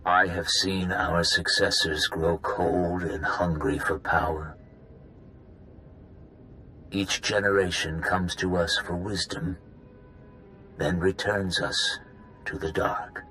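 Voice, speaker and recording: A man voicing a grave, ancient founder of a secret cabal, telling history deliberately in a video game. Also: low voice, steady tone, pushed and bright, soft background music, full character voice, poor audio. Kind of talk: video game cutscene dialogue.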